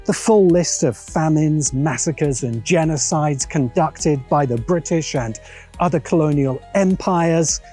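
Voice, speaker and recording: A man hosting a video essay, reading scripted narration in a measured, emphatic way with dramatic pauses. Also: a little high, warm tone, husky, faint music behind.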